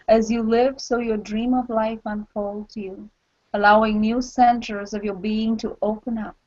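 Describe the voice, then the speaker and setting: A woman reading poetic literary prose aloud in a solemn, deliberate manner. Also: on the high side, a little mellow, muffled recording. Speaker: co-reader of a book.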